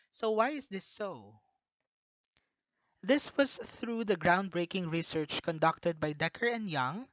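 A lecturer with a Filipino accent narrates a prepared slideshow in an earnest, measured way. The voice-over sounds dry and close, with a slightly dull, lo-fi tone. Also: mid-range voice, a bit bright, dry recording. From Philippines. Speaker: presenter of a recorded lecture.

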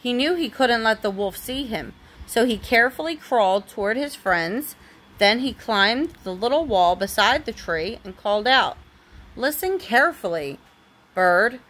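Higher-voiced woman reading a bedtime story. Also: normal speed. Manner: expressive reading for a young child. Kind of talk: storybook read-aloud.

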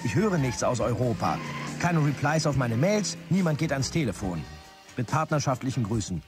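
Actor as male executive, radio drama, formal, pompous, self-important, mid-pitched voice, clear music bed. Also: very animated, moderate pace, close to an everyday voice. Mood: exasperated. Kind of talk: radio drama, read-out business letters.

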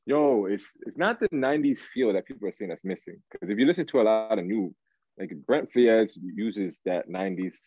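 Man, low voice, dull call audio. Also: animated, a little mellow, no echo. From Canada, raised in Toronto. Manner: halting, slangy, searching for words. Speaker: guest R&B singer. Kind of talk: casual interview chat. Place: small room, indoors. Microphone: video call, device at arm's length.

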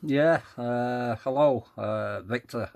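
A man making casual radio contacts with call signs, in halting procedural phrasing. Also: medium pitch, creaky, background noise. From England. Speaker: amateur radio operator.

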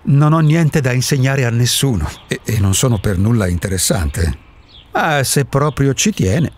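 A man's voice: mid-range voice, lively intonation, moderate pace, a little hiss or hum, close to an everyday voice. An actor playing an elderly reclusive male ghost in a radio drama, a wry and contrarian philosopher with a theatrical, emphatic delivery. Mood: dismissive.

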